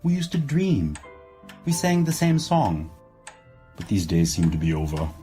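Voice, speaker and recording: A man's voice: fairly low pitch, very animated, unhurried, warm tone, soft background music. Man acting a weary president, wildly swooping delivery. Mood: defeated, wistful. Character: weary, wine-drinking male president, flattering and maudlin. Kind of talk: audio drama acting.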